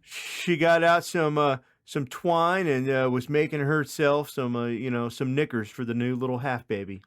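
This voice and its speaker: A man co-hosting a comedy podcast, reading and chatting in a halting, crude, jokey way. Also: mid-range voice, clean tone. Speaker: podcast co-host. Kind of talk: comedic story reading and casual chat.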